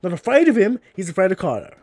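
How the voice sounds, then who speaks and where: A man's voice: high voice, big pitch swings, fast talker, a little mellow, no echo, studio quality. A man with an Australian accent, co-hosting a podcast in casual chat, blunt and swearing freely as he rants. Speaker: podcast co-host.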